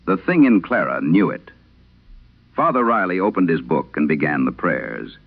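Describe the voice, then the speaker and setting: A man playing an aging, haunted priest, telling a solemn horror radio monologue with measured, brooding, confessional delivery. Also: low-pitched, sing-song, gravelly, narrow, tinny audio, exaggerated voice. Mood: grim, dread-filled.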